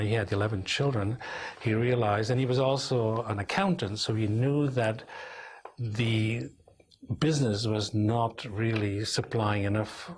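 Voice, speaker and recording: Unhurried older man, deep voice. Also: a little hiss or hum. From Belgium. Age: 70s. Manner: unhurried, thoughtful storytelling with small restarts. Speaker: interviewee, engineer and company president. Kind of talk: reflective oral history interview. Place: small office, indoors, seated at a desk.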